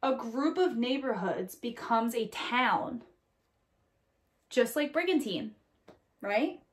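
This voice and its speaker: A woman in her thirties with a fairly high voice reads a children's picture book aloud as a teacher would. She speaks straight to her young listeners, adding asides and checking in with short questions. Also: lively intonation, slow pace. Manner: addresses young listeners directly with questions and tag "right?". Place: small indoor room at home or classroom corner.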